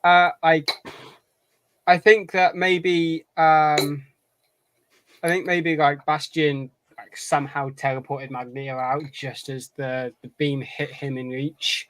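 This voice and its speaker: A man co-hosting a podcast in casual chat, thinking aloud haltingly and repeating his words. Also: a little high, flat delivery, a bit bright. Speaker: podcast co-host.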